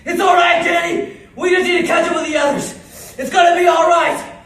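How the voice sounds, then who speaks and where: Man acting out a desperate shouting soldier, very high-pitched. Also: flat delivery, a little fast, some chatter behind. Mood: urgent, reassuring. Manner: urgent, strained shouting. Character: desperate male soldier crying out to comrades under fire. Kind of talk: dramatized war monologue dialogue. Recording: echoey room, distant mic, faint noise.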